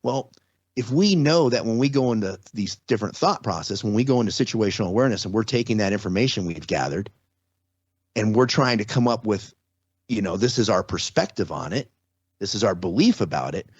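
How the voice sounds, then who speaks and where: A man's voice: on the low side, expressive, a little fast, slightly rough, no room sound. A man in his forties or fifties, a podcast guest, explaining ideas earnestly in casual talk with many restarts. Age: 40s or 50s. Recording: slightly dull lo-fi audio.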